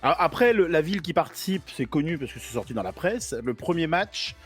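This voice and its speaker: A man's voice: fairly high pitch, very animated, fairly quick, faint music behind. A man co-hosting a casual podcast chat, laid-back and rambling. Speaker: podcast co-host.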